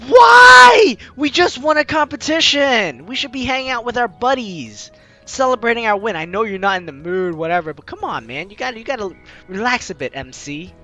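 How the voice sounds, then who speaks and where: A man's voice: very high-pitched, expressive, moderate pace, bright, forceful tone, soft background music. A man hosting a let's-play, reading a visual novel aloud and breaking off to laugh and comment.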